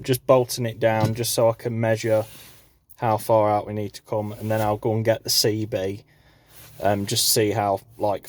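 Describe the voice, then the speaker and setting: A man's voice: on the low side, a little mellow. A man talking informally and matter-of-factly through a hands-on job, in a casual how-to vlog recorded on a handheld phone. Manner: informal, matter-of-fact explaining. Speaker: farm vlogger showing a DIY installation.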